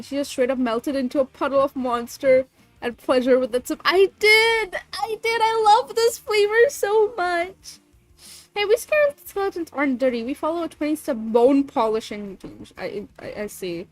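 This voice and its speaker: A woman's voice: high-pitched, no room sound. A sniffly woman with a cold, chatting casually on a livestream and reading creepy stories aloud. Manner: bubbly, swears, reads chat aloud. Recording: faint music behind.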